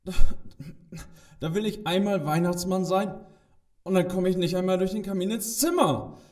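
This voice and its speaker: Guy playing a flustered stuttery impostor santa, high voice, kinda far from mic, kids audio play. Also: moderate pace, a little mellow, lightly acted. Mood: panicked, indignant. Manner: stammering, blustering. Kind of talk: children's audio play acting.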